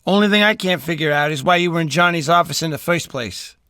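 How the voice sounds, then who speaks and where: A man's voice: a little high, quick pace, pushed and bright, clean tone, dry recording, studio quality. A man playing a blunt, matter-of-fact gangster henchman loyal to his boss in an audio drama.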